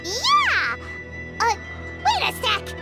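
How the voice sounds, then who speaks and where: A woman voicing a tiny, childlike, excitable and bossy fairy girl companion in a video game, squeaky and chirpy. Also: extremely slow, exaggerated voice. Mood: excited. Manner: chirpy, exclamatory, folksy. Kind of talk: anime-style video game voice acting. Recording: prominent music behind the voice.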